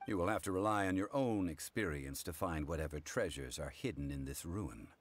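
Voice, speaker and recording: Very deep, dignified scholarly man. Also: lively intonation, husky. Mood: composed, formal. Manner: formal, measured, unhurried. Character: calm, dignified, scholarly male consultant and negotiator. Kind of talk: anime game voice acting. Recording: music behind the voice.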